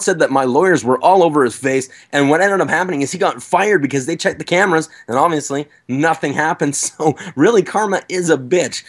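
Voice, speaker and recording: A man's voice: fairly high pitch, fairly bright tone. A man giving a casual video outro as channel host, gleeful and swearing freely. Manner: gleeful, foul-mouthed. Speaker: YouTube prank channel host.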